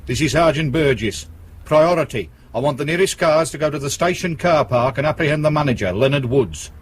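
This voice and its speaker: An actor playing a gruff, combative British police detective sergeant in a radio drama, curt and sweary. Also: male voice, fairly high pitch, expressive, brisk, slight background noise, natural voice. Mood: urgent, commanding.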